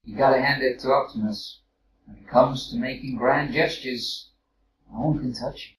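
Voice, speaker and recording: A man's voice: medium pitch, fairly even intonation, normal speed, close to an everyday voice. A man playing a brash, sarcastic robot captain in an audio drama, with a needling, argumentative delivery. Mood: wry. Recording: roomy, distant mic with faint noise.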